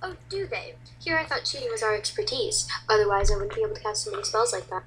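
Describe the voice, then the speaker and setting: A woman's voice: mid-range voice, expressive, fast talker, a bit bright, slight background noise, close to an everyday voice, poor audio. A woman voicing a chatty, admiring and boastful gamer girl in an anime fandub. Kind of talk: anime fandub script reading.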